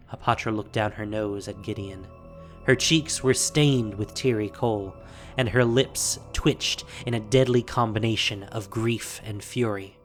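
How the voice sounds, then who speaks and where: A man narrating an audio drama in a measured, dramatic storytelling manner. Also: fairly low pitch, fairly bright tone. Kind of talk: dramatic scripted fantasy narration.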